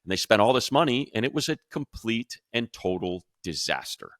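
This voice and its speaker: Male sports talk host, low-pitched, forceful bright tone, emphatic, repetitive phrasing, close dry sound. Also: sing-song, moderate pace, no echo. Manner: emphatic, repeats phrases for effect. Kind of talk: unscripted sports talk and analysis.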